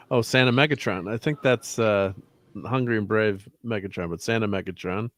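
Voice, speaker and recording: A man with a low voice hosts a casual livestream chat. He rambles along reading off viewer names and laughs easily. The sound is close and dry. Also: moderate pace, dry recording. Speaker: host.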